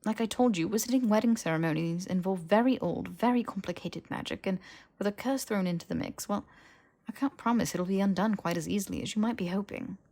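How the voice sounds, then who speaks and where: A woman's voice: medium pitch, brisk, creaky, a little mellow. A woman acts out a stern, bookish young witch for an audiobook. She speaks in precise, wordy sentences, scolding and exasperated, always the sensible one. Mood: cautioning. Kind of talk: fiction audiobook character voice.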